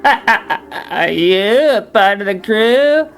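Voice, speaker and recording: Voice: mid-range voice, fairly even intonation, normal speed, fairly bright tone, faint music behind, voice pushed up, lightly acted. A fan reader voicing a scornful French pirate captain who mocks and dismisses a sailor, in a raised voice. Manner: mocking, dismissive.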